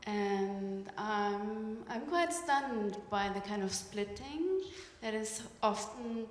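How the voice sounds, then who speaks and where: A woman whose first language is German, asking a thoughtful, measured question at a symposium. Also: mid-range voice, lively intonation, slight background noise. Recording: echoey hall with long reverb, faint ambience.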